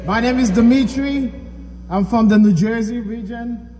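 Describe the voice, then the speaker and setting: A man's voice: extremely high pitch, a little slow, warm tone, big-room sound, muffled recording, soft background music. A man from Haiti preaching a sermon, talking conversationally and asking the audience questions. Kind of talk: church sermon.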